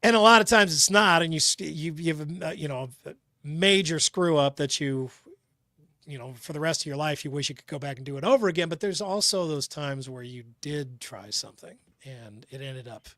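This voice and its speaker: Middle-aged male co-host, rambling casual chat, bright and fairly high. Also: moderate pace, no room sound, studio quality. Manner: rambling, self-interrupting.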